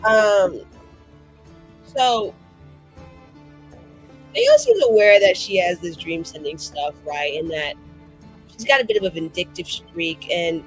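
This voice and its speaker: A woman's voice: on the low side, big pitch swings, takes it slowly, pauses often, low-quality recording. A young woman playing a tabletop game, chatting casually in a theatrical, sweary, mischievous manner. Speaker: player. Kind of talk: casual, excited game chat. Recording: faint music behind. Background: soft background music.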